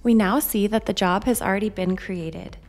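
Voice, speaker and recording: A woman reading a scripted software demonstration in a measured, instructional way. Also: medium pitch. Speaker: software demo narrator. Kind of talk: scripted tutorial narration. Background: background music.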